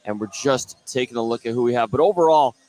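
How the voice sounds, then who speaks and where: A man's voice: medium pitch, fast, darkish tone, low-quality recording. A man working as a play-by-play sportscaster, giving live basketball commentary. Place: indoor high school gym.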